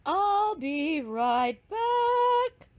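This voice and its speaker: A woman's voice: very high-pitched, sing-song, extremely slow, darkish tone, no echo, pitched above the performer's own voice, heavily acted. A woman voicing a caring, helpful female magpie in a children's storybook, with a fussy, stretched-out delivery. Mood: caring, instructive. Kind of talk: storybook read-aloud for young children.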